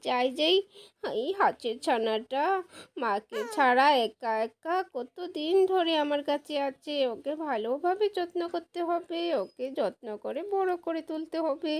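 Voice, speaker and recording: A woman acts out a caring young mother from a doll puppet show. The voice is high and nurturing. She fusses in short, repeated phrases. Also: animated, a little slow. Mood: tender, fussing. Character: caring young mother tending a child and duckling. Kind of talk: doll puppet story acting.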